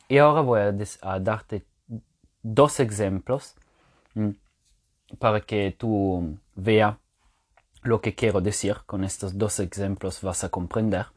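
A man whose first language is French talks through a language point in a low voice. He explains patiently and thinks aloud, often pausing on filler sounds and restarting words. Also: darkish tone. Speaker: language teacher podcaster. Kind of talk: casual spoken lesson to listeners.

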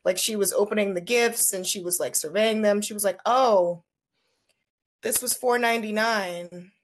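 A woman's voice: medium pitch, normal speed. A young woman co-hosting a casual podcast, blunt and dry as she tells stories. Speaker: podcast co-host.

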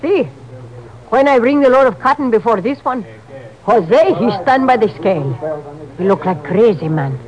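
Actress as high-voiced, protective Mexican mother. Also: expressive, moderate pace, darkish tone, close to an everyday voice, poor audio. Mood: earnest. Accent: Mexican Spanish. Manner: broken English, emphatic. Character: hardworking Mexican mother, cotton picker, protective and wary. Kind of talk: 1950s radio acting. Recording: old radio drama with music.

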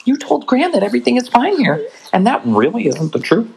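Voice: medium pitch, wildly swooping pitch, brisk, gravelly, darkish tone, husky, pitched above the performer's own voice, exaggerated voice. A storybook reader voicing an eager, chirpy young girl bear cub. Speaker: storybook character voiced by the reader. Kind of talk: storybook reading aloud.

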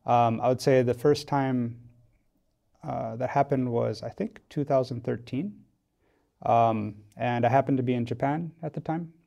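American guy on a podcast, relaxed mellow voice w lots of vocal fry, explains stuff thoughtfully, kinda hesitant. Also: mid-range voice, measured pace, highs cut off. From United States, Wisconsin. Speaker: podcast guest, tech company founder. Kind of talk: casual interview talk. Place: studio.

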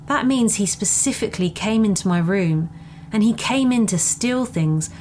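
A woman's voice: mid-range voice, expressive, moderate pace, darkish tone. Woman narrating a scary story, faint noise. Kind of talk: scripted narration of a first-person scary story.

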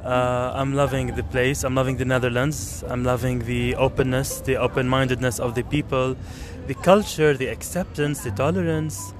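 A man from Kuwait who is being interviewed outdoors talks earnestly and thoughtfully, often pausing with fillers. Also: medium pitch, fairly even intonation, soft background music. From Kuwait, Lebanese family. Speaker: interview guest. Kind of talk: street interview. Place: outdoors at a city square monument.

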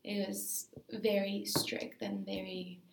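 A woman's voice: medium pitch, flat delivery, takes it slowly, reverberant, noisy background. A woman from Greece, a dancer being interviewed, talking casually and thinking aloud with many fillers.

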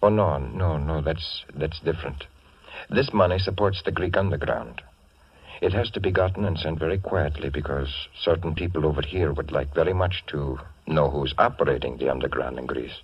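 An actor playing a courteous, secretive Greek immigrant in a 1940s radio drama, speaking in a polite, earnest and slightly hesitant way. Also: male voice, rumbling low, moderate pace, husky, no room sound, heavily acted. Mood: earnest, confiding. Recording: muffled vintage radio recording.

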